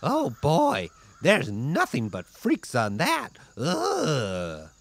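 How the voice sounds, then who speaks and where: A woman playing a grumbling, folksy senior in an audio comedy, drawn-out and exclamatory. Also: deep voice, huge pitch swings, breathy edge, full character voice. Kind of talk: audio comedy drama acting.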